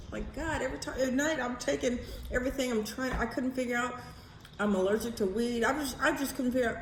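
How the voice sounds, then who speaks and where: An American woman telling personal stories in a casual, rambling vlog style, candid and blunt as she thinks aloud. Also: mid-range voice. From United States. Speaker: vlogger. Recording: roomy echo, faint background noise.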